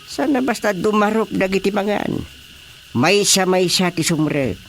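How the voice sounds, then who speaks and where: An actor in a Filipino radio drama comedy voicing an excitable elderly village chairman with repetitive exclamations. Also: mid-range voice, unhurried. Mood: earnest, bossy. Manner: exclamatory, repetitive, swooping reactions. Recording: steady background noise.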